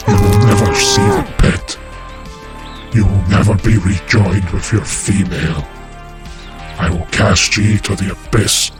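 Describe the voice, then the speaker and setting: Cruel ancient demon lord, low voice, slow drawl, whispery menace, loud music under it. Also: male voice, heavily acted. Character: ancient male body-stealing demon lord, cruel, contemptuous. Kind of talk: audio drama acting.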